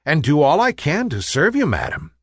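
Guy doing a young storybook boy, scared but brave, super polite, earnest, lively pitch. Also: moderate pace, husky, no room sound, clean studio sound, heavily acted. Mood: anxious, polite. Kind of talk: storybook character voice.

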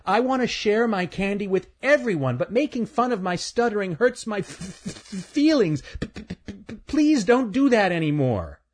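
Guy doing a high timid little critter voice, stutters a lot, kinda husky. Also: big pitch swings, normal speed. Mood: stammering, timid. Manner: stuttering, stammers on first sounds. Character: shy young male woodland critter who stutters.

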